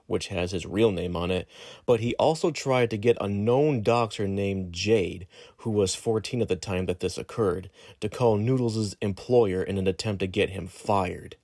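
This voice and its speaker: Male reader, lowish voice, formal and measured, close dry recording. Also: darkish tone, no room sound. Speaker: guest reader of a document. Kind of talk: reading a written statement aloud.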